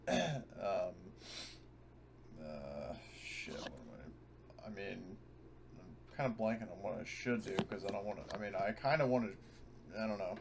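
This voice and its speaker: A man playing a tabletop game, talking casually and hesitantly as he works out his move. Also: lowish voice. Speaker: player. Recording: faint background noise.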